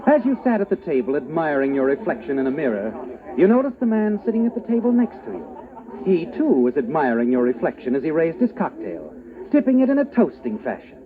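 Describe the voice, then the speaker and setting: A man narrating a 1940s radio mystery in second person, smooth, ominous and knowing. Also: fairly high pitch, very animated, brisk. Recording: tinny old radio broadcast with music behind.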